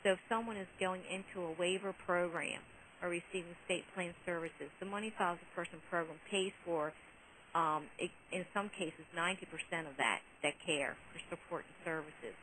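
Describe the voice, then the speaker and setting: A woman with a slightly low, bright and forward voice explains program details on a conference call. She is conversational and detailed, pausing to find words as she answers. A faint hiss runs under the line. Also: poor audio. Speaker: government program official answering questions. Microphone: conference call phone line. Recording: hissy conference call audio.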